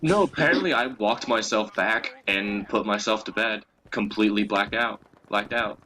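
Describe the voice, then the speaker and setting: A man's voice: lowish voice, normal speed, pushed and bright, poor audio. A young man telling casual stories in a profane, crudely teasing way, as a co-host chatting with a friend. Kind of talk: casual storytelling chat over a game.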